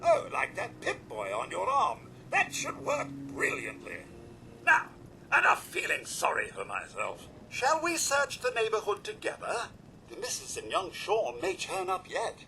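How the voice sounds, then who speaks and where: Prim, high-pitched British robot butler. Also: male voice, wildly swooping pitch, a little slow, bright, forceful tone, a little hiss or hum, full character voice. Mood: composed, helpful. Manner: fussy, formal, servile diction. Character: prim, fretful robot butler, loyal and theatrical. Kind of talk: theatrical video game voice acting.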